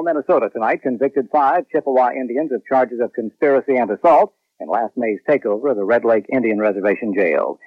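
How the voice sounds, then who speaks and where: An American man anchors a radio newscast at a quick clip, reading from a script. The sound is close and dry, slightly dulled like an old broadcast. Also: mid-range voice, no room sound, lo-fi sound. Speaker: network radio news anchor.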